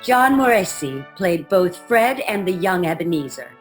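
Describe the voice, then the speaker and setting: A woman serves as narrator of a radio drama in a slightly low voice. She tells it in a measured, emphatic storytelling style, with faint music behind her. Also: very animated.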